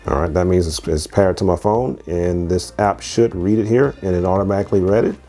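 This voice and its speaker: A man's voice: low voice, brisk, warm tone, soft background music. A man giving a casual, conversational product review as host, explaining things step by step and repeating phrases as he goes.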